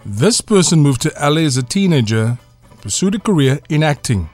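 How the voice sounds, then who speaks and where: A man's voice: on the high side, very animated, moderate pace, darkish tone, soft background music. A man running a radio quiz, reading out clues and laughing along with the players. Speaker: quizmaster.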